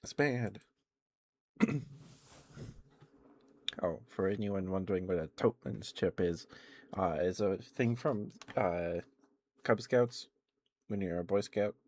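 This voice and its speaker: A man hosting an ASMR livestream, speaking softly and intimately and slipping into whispers. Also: low voice, slow pace, long pauses, no echo.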